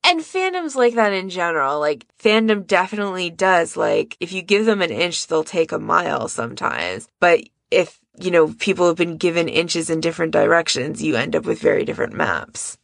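A woman's voice: fairly low pitch, very animated, moderate pace, gravelly, hardly any pauses, no echo, studio quality. A woman co-hosting a podcast, telling stories in an enthusiastic, conversational way. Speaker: podcast co-host. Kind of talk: casual discussion.

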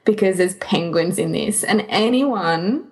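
A woman's voice: lowish voice, expressive, measured pace, darkish tone. A woman co-hosting a podcast in a casual, conversational style. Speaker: podcast co-host.

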